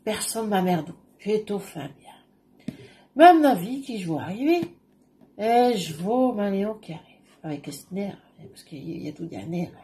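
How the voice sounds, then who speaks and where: A brash, gossipy northern French housewife, played by a woman, with a thick Ch'ti accent and a warm, mellow tone. She talks loud and rough in broad patois, straight into a webcam in a small room. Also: medium pitch, sing-song, a little slow.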